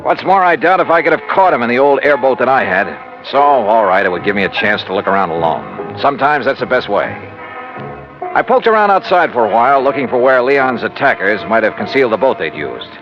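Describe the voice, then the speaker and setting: Actor as wry hard-boiled American radio detective. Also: male voice, medium pitch, animated, brisk, lightly acted. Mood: wry, narrating. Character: tough, wry male freelance insurance investigator narrating his case. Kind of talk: 1950s radio detective drama acting. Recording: muffled old radio recording with music.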